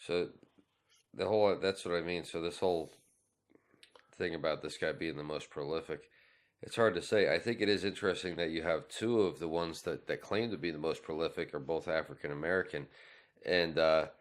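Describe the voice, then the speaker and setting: A man's voice: rumbling low, steady tone, brisk. A man co-hosting a podcast in a casual, opinionated conversation, speaking bluntly. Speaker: podcast co-host.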